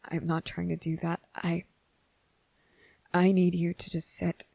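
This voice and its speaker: A woman performs a guilt-ridden young male vampire in an intimate ASMR roleplay. The voice is lowish and very creaky, with a narrow, subdued range. He speaks hesitantly and earnestly, as if pleading to be believed. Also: monotone, a little slow, a little mellow, no room sound. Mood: panicked, pleading. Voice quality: breathy, husky, gravelly.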